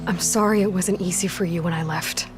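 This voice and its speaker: An actress playing a determined, guarded young warrior woman in a video game, earnest and terse, breathy at phrase ends. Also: fairly low pitch, steady tone, brisk, over music, lightly acted. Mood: earnest, burdened. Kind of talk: video game dialogue acting.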